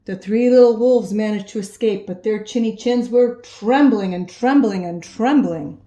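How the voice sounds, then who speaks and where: A woman reading a children's storybook aloud in an expressive storytelling style. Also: medium pitch, normal speed. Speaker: storybook reader. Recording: echoey room.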